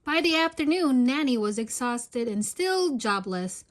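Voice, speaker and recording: A woman with a high voice reads a storybook aloud for children. Her narration is expressive, in the manner of a storytime host. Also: unhurried.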